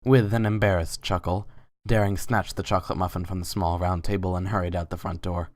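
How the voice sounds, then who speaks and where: A man's voice: low-pitched, steady tone, fairly quick, dry recording. A man giving a dramatic, scripted reading of a story as its narrator.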